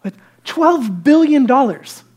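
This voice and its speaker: A man with a high, light voice preaching a sermon as a pastor, telling stories lively and dropping into hushed asides. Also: takes it slowly. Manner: lively storytelling that drops to hushed, near-whispered asides; self-deprecating and conversational.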